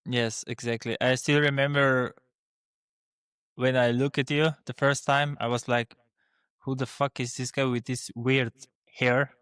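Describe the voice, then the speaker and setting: A man's voice: mid-range voice, flat delivery, a little slow, a bit bright, clear, resonant tone, no room sound. A young man from Slovenia with a Slovene accent, co-hosting a casual podcast chat and speaking hesitantly with many restarts and fillers. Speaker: podcast co-host.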